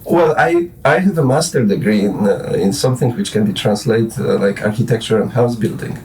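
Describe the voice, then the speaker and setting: Polish man with a dark voice. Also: fairly low pitch, fairly quick, distant mic, slight background noise, rough recording. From Poland. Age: 40s. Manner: rambling, hesitant, many restarts and fillers. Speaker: guest musician. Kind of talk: casual late-night interview.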